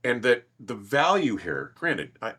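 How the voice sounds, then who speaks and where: A man's voice: medium pitch, big pitch swings, measured pace, sharp tone, husky. A man in casual, enthusiastic conversation as an audio reviewer hosting a show, speaking emphatically and with strong opinions. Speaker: host, audio equipment reviewer. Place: large, minimally treated listening room.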